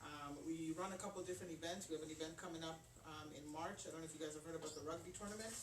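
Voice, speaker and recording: A woman speaks to a gathering in a loose, chatty way, full of ums and restarts. She is caught from a distance in a roomy space. Steady noise runs under her voice. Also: low voice, racing. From Kenya. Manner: chatty, with many fillers and restarts. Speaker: caterer and business owner presenting to a group. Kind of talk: informal talk at a community event. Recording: roomy, distant and noisy event recording.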